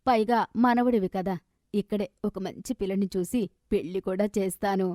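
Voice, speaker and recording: A kindly old village granny, voiced by a woman storyteller, speaks with homely affection. Her pitch is medium and the sound is dry, suited to an animated moral tale. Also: normal speed, dry recording, close to an everyday voice. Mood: warm, welcoming. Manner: affectionate, chuckling, homely colloquial talk.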